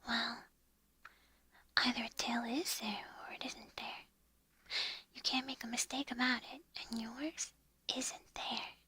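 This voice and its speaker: A woman reading the part of a gloomy old grey male donkey in a slow, mournful whisper. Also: fairly high pitch, fairly even intonation, pushed and bright, a little hiss or hum.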